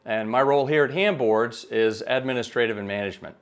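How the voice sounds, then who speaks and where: A man in his forties, an engineer and manager, speaking in a measured, businesslike way for a pitch video. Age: 40s. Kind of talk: pitch video talk to camera.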